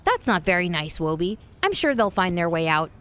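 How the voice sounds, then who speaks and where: A woman's voice: on the low side, big pitch swings, brisk, fairly bright tone. A woman playing a kind, eager young boy in a children's audio drama. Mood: concerned.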